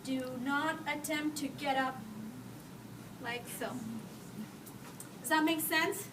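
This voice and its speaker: A woman's voice: high voice, fairly even intonation, slow pace, background noise. A woman physical therapist giving a friendly talk to a group, laughing often and checking they follow. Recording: echoey hall, mic far off.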